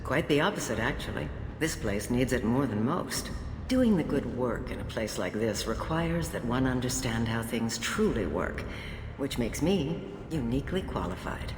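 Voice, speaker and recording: A roomy, reverberant game recording with clear background noise carries a reformed female crime boss. She is composed and courteous, with measured, formal and articulate phrasing. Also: rumbling low, sing-song. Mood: composed assurance. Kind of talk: video game dialogue acting.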